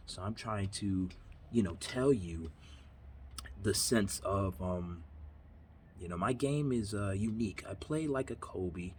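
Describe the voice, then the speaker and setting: Man, 30s, from New York; low voice, earnest rambling vlog monologue, faint backyard ambience. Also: takes it slowly, a little hiss or hum. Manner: earnest, rambling, repeats himself. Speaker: vlogger. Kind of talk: motivational monologue. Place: backyard outdoors.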